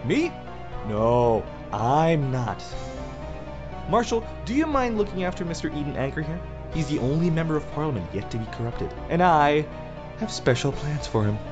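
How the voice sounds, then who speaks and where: A man's voice: on the high side, sing-song, moderate pace, lo-fi sound, music in the background. Man playing a theatrical, swooping young tyrant. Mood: smug menace. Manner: theatrical, mock-friendly, sarcastic. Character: gleefully menacing, mocking young male tyrant president. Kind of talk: audio drama acting.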